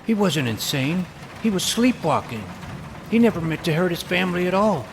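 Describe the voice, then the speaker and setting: A man's voice: high voice, very animated, moderate pace, gravelly, over loud music, heavily acted. A man playing a serious, imaginative twelve-year-old vampire hunter, narrating his own story in a dramatic, bookish way. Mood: earnest, suspenseful. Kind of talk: scripted first-person story narration. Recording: noisy ambient background.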